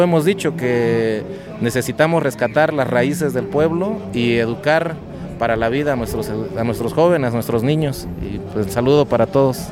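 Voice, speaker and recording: A man with a Mexican accent, a teacher giving an earnest interview at a street protest, speaking with many "eh" fillers. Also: medium pitch. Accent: Mexican Spanish. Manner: earnest, measured, with frequent filler "eh" and "pues".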